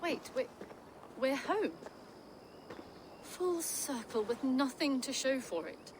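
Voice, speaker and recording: A woman's voice: high-pitched, lively intonation, slow pace, background noise. A woman playing a plain-spoken young huntress in a video game, earnest and direct. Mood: weary. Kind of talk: dramatic video game acting.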